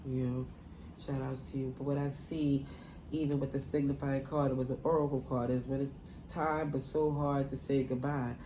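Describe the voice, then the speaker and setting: A woman's voice: a bass voice, flat delivery, moderate pace, warm tone, slight background noise, rough recording. A woman with a cold, her voice stuffy and nasal, giving a loose, rambling tarot reading full of "you know" and "like". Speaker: tarot reader. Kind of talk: casual tarot reading to camera.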